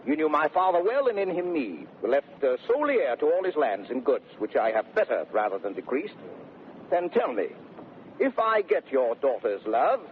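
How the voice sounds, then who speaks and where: An actor playing a brash, boastful young gentleman suitor in 1930s radio Shakespeare, declaiming theatrically with a British accent. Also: on the high side, big pitch swings, normal speed. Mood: businesslike. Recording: muffled, noisy vintage radio broadcast.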